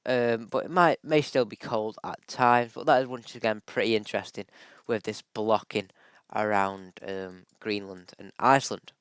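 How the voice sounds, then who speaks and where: A man's voice: fairly low pitch, steady tone, normal speed, a bit bright, smooth, dry recording. Man with a cold, forecasting. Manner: chatty, lots of ums and likes, thinks aloud. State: has a bad cold, voice a little off. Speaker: weather forecaster. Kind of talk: casual forecast vlog over screen-shared charts.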